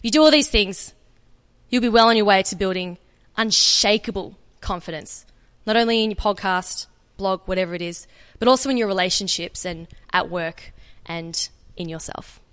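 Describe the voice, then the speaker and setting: Australian woman chatting on stage at a conference, mid pitch, echoey hall. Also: normal speed, a bit bright, clean tone. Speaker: conference speaker. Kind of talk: live talk and Q&A at a conference. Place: conference room.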